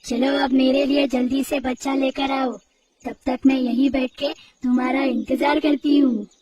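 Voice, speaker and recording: Old female witch, put on by a narrator: high-pitched, gloating, quick-tempered, storybook horror. Far from mic, loud music. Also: little pitch movement, lo-fi sound, natural voice. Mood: eager, impatient. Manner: gloating, theatrical, drawn-out words. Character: old child-eating witch, greedy, cackling, quick to anger. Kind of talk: dramatised storybook horror tale for children.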